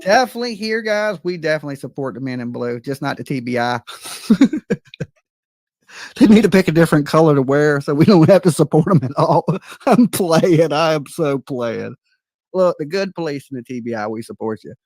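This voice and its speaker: A man's voice: on the high side, animated, brisk, darkish tone, no echo, studio quality. A man hosting a casual livestream, talking in a blunt, joking, informal way. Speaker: livestream host.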